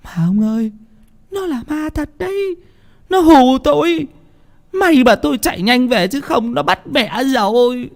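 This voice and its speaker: A man's voice: very high-pitched, expressive, a little slow, creaky, voice pushed up, exaggerated voice. A man voicing a frightened village woman of sixty, wailing, stammering and pleading in a ghost story. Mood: shaken.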